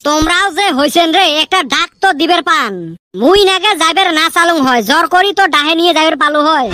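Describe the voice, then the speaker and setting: A woman's voice: extremely high pitch, fast, pushed and bright. A woman voicing a whiny, cheeky, food-obsessed village youngster in a comic cartoon, complaining and scolding. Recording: music bed under the voice.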